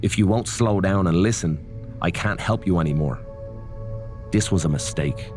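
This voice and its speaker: Man playing deep-voiced, grave wrestling mentor. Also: moderate pace, darkish tone, faint music behind, close to an everyday voice. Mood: grave warning. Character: grave, cautious male wrestling mentor wary of dark power. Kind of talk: video game cutscene acting.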